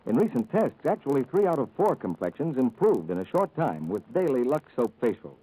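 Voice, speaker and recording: A man announcing and delivering scripted sponsor copy in polished 1940s radio style, with a husky voice. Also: medium pitch, very animated, a little fast, mellow, rough recording. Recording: old 1940s radio recording.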